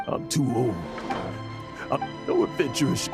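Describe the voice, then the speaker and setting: A man voicing a weary, humble old adventurer in a video game, earnest and wistful, with a halting, creaky delivery. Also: medium pitch, close to an everyday voice. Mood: resigned. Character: weary, emotional old male adventurer, guilt-ridden and humble. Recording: music behind the voice.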